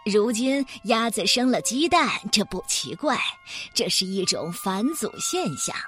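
A woman's voice: fairly high pitch, very animated, slow pace, fairly bright tone, soft background music, full character voice. A woman voicing a pompous, hot-tempered male mole scientist who declaims with self-important indignation.

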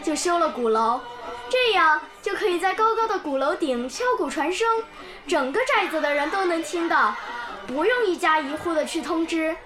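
An actress voicing a bright, curious young village girl who tells stories eagerly, in a radio drama. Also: extremely high pitch, moderate pace. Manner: lively, eager storytelling. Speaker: pupil. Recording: background music under the scene.

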